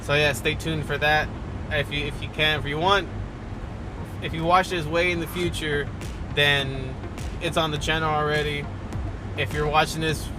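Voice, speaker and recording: Guy doing a laid-out casual truck review, bit high pitched, trips over words a lot, music playing behind him. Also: little pitch movement, normal speed, pauses often, poor audio. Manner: rambling, stumbling, self-described stutter. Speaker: truck vlogger. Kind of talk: casual car review vlog.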